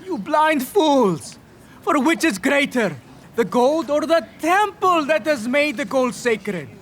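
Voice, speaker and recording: A man's voice: extremely high pitch, lively intonation, takes it slowly, a bit bright, slight background noise, exaggerated voice. An actor playing a fiery male teacher who denounces hypocrites in a declamatory way in an audio drama. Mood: fierce indignation.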